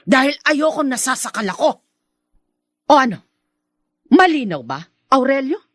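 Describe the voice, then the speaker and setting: An actress playing a defiant, manipulative wife in a Filipino radio drama, haughty and domineering, with a Filipino accent. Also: female voice, sits high, big pitch swings, unhurried, no echo, studio quality, exaggerated voice. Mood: defiant, irritated. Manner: haughty, scolding.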